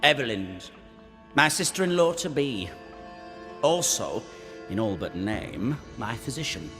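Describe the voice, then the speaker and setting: A man voicing the flirtatious, deluded ghost of a sickly, lovesick gentleman in a theatrical, singsong video game performance. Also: medium pitch, sing-song, a little slow. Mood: lovesick, wistful. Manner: theatrical, singsong, repeating phrases. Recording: long echoing reverb, music underneath.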